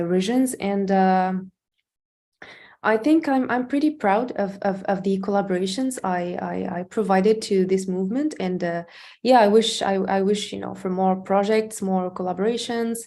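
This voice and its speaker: A woman's voice: mid-range voice, mellow. A woman from Morocco speaking on an online conference call, hesitant, with many repeats and fillers. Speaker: panel speaker. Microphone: video call.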